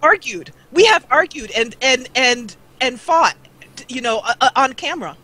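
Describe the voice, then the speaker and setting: A woman's voice: high-pitched, lively intonation, moderate pace, pushed and bright, husky. A woman chatting casually as a guest on a gaming live stream, rambling and playful, over a basic microphone. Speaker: guest, gaming roleplay video creator. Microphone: low-grade microphone on a live call.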